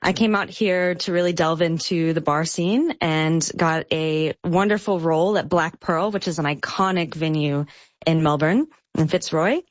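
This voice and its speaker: A woman from the United States, a bartender guest on a radio show, upbeat and quick to laugh. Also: deep voice, no room sound. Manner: bright, upbeat, laughs easily. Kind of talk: interview over a phone line. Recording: dry, dull phone-line audio.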